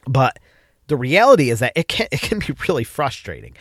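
A man's voice: medium pitch, very animated, a little fast, a bit bright, no room sound, clean studio sound. Stuffed-up man hosting a podcast. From upstate New York. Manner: loose, rambling, with frequent restarts. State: stuffed-up nose from a cold. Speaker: podcast host. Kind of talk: casual solo podcast talk.

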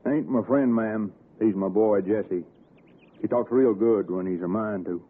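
A man's voice: lowish voice, a little slow, husky. An actor playing a stern, sinister hillbilly father with an Appalachian accent and a laconic, folksy menace in 1960s radio suspense. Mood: folksy coaxing. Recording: tinny, noisy old radio broadcast.

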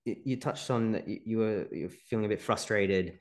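A man's voice: on the low side, brisk. A man hosting an interview podcast, upbeat and encouraging toward his guest. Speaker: podcast host.

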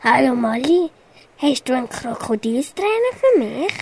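A woman's voice: high-pitched, sing-song, measured pace, slightly rough, exaggerated voice. A schoolgirl with a Swiss German accent playing a tired, polite male lion in a children's radio play. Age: child or young teen pupil. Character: male lion Leo, tired, polite, losing his mane.

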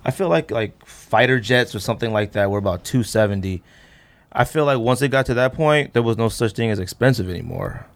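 A man in his thirties hosting a casual chat, rambling and thinking out loud in slangy talk. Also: medium pitch, fairly quick. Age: 30s. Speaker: podcast host. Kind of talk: casual chat about sneakers.

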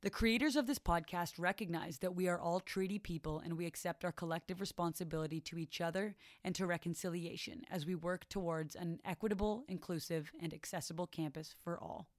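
A woman reading a scripted podcast introduction in a slow, measured, formal way. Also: fairly low pitch, no room sound, clean studio sound. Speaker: podcast announcer. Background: clear music.

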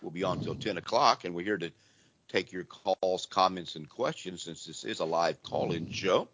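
A hoarse man with laryngitis hosts a live call-in radio show, talking in a casual, rambling way with frequent restarts. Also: sits low, normal speed, a bit bright. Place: church. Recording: dull lo-fi radio audio with faint noise.

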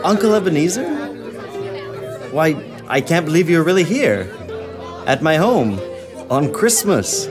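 Astonished kindly nephew, high male voice, slow delivery, hearty, radio drama, crowd behind. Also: very animated. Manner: hesitant, then hearty. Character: warm-hearted, astonished nephew welcoming guests. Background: crowd and music.

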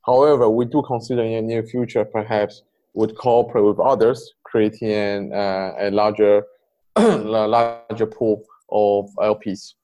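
Halting, muffled low-voiced man. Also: steady tone, warm tone. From Poland. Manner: halting, many fillers and restarts. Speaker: crypto fund investor guest. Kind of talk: interview answers.

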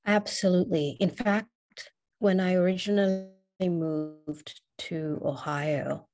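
A young woman from Kenya with a slightly Americanized Kenyan English accent, speaking in a measured, reflective way as a guest in an interview. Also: fairly low pitch, fairly even intonation, mellow. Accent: Kenyan English, partly Americanized. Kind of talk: personal interview.

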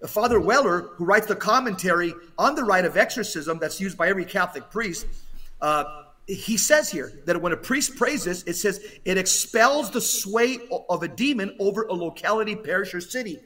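A man's voice: high-pitched, animated, moderate pace, pushed and bright. A man giving impassioned religious exhortation as a podcast commentator, urgent and emphatic, often repeating phrases for weight.

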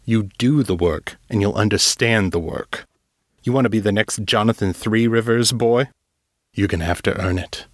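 A man voicing a weary, gruff old man who speaks gravely and bitterly, in an audiobook read by its author. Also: lowish voice, normal speed, dry recording, studio quality, close to an everyday voice. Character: weary old male street elder, gruff.

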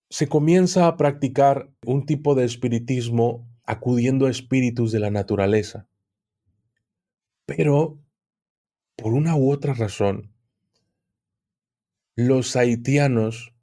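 A man, a guest on a podcast, explaining at length in a measured, hesitant way. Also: mid-range voice, mellow. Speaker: guest occult expert. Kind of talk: podcast conversation.

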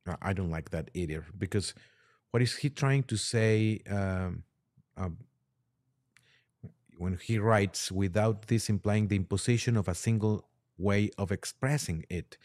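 A man with a lowish voice talks through religious matters as a guest on a podcast. He is deliberate and explanatory, often halting as he picks his words. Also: darkish tone. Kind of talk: religious discussion, reading aloud and commenting.

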